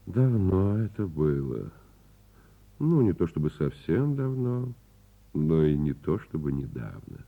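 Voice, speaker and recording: Gentle fatherly storyteller, very low husky voice, musing, expressive, radio play. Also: phone-quality sound, full character voice. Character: gentle father-like storyteller telling a bedtime tale.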